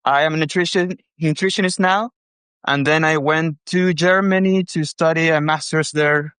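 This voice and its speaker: A Chilean man in his thirties speaks as a caller with a Spanish accent. His voice is on the higher side for a man, and he explains things conversationally in a halting, hesitant way. The sound is dry. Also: fairly even intonation, a bit bright, clear, resonant tone, dry recording. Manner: hesitant, halting second-language English.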